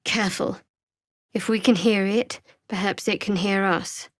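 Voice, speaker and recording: A woman voicing a guarded, wry young female cleric of a dark goddess in a fantasy video game, speaking in a measured, dry, sardonic way. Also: mid-range voice, fairly even intonation, dry recording, natural voice. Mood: cautious.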